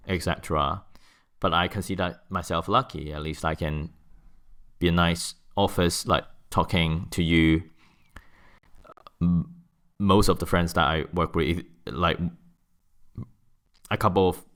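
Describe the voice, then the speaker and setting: Deep smooth voice, hong kong guy in his 30s, talks slow and thoughtful, lots of restarts. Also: a bit bright. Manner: thoughtful, frequent restarts and fillers. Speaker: guest. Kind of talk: interview answers. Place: office.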